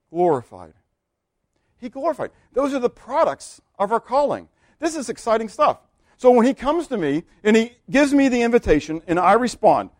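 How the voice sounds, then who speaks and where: A man preaching a sermon, animated and full of questions for his listeners. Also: a little high, no room sound, clean studio sound. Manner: animated, asks the congregation questions. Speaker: pastor.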